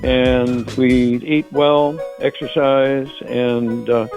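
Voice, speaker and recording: Man, 80s, caller, upbeat and halting, voicemail with music bed. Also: medium pitch, level tone, lo-fi sound. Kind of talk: cheerful voicemail message. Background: music playing.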